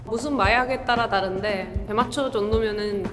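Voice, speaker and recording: A woman from Italy, speaking quickly and lively as an interview guest. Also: mid-range voice. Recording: reverberant studio with music.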